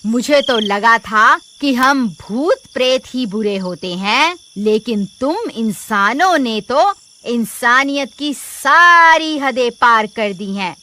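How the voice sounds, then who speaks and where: Vengeful cartoon witch spirit, voiced by a woman: commanding, menacing, fairly high, measured delivery. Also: animated, pushed and bright, exaggerated voice. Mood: angry, menacing. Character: vengeful female witch spirit, stern judge of the greedy. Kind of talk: melodramatic cartoon horror acting.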